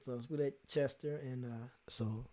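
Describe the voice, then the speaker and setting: A man's voice: mid-range voice, steady tone, normal speed, a little mellow. A man hosting a casual live stream, teasing his guests and the chat with frequent laughs. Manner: talks to chat and guests while they draw.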